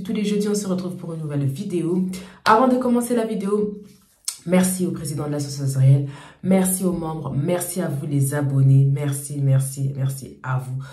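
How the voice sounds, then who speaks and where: Hoarse low-voiced woman with a cold. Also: dark tone, roomy sound. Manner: repetitive, chatty thanks to viewers. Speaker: YouTube channel host. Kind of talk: casual talk to camera.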